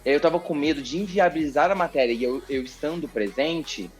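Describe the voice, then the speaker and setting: A man with a Brazilian accent, a TV journalist chatting as a podcast guest, telling a story in an animated, expressive way and repeating words for emphasis. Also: a little high, fairly quick, soft background music. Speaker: TV journalist and presenter, interview guest. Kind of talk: casual podcast interview storytelling.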